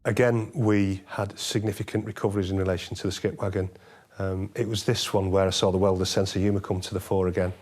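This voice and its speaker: A man's voice: deep voice, little pitch movement, fast talker, darkish tone. A man recalling police work in an unscripted interview, matter-of-fact and colloquial like a detective.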